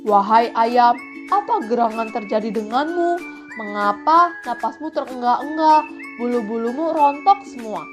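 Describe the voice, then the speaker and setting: A woman voicing a stern, loyal crab in a children's tale, speaking with forceful emphasis. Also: a little high, lively intonation, moderate pace, music in the background, natural voice. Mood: concerned.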